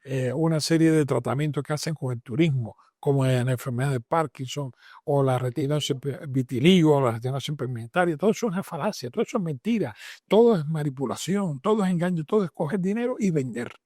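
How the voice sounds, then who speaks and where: A man's voice: a little high, fast, a little mellow, no room sound, studio quality. An older man with a Cuban accent answering interview questions emphatically, repeating phrases as he builds lists. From Matanzas province, Cuba. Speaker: interviewed doctor and author.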